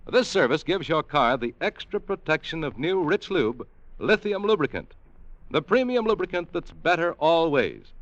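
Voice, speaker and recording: A man's voice: a little high, a bit bright, dry recording, muffled recording. An American man reading scripted announcements and sponsor copy as a polished 1950s radio announcer.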